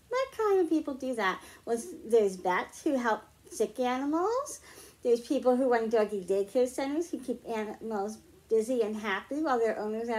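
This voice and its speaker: A middle-aged woman reading picture books aloud to young children, explaining slowly and clearly at home. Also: sits high. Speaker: children's librarian. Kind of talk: storytime read-aloud for kids.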